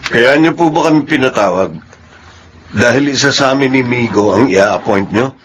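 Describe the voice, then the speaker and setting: A man's voice: mid-range voice, normal speed, slight background noise, natural voice. A Filipino man acting a pushy, scheming office employee in a radio drama. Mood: eager, polite. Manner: pushy, threatening, dismissive. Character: scheming, bullying male office employee angling for promotion.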